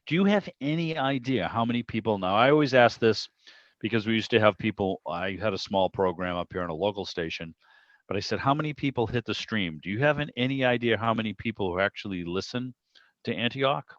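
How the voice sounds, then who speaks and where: A low-pitched man speaks casually on a video call, rambling through anecdotes like an easygoing interviewer. The audio is dull and lo-fi. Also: animated, brisk, no room sound. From Boston area, United States. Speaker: interviewer and club host. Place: indoor room on a video call.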